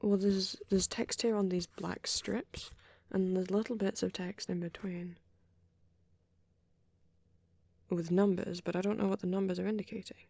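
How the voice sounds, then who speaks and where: A woman voicing a shy vampire boy who rambles gently in an ASMR roleplay, soft-spoken and hesitant, slipping into breathy whispers. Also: medium pitch, flat delivery, normal speed, no room sound. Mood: puzzled.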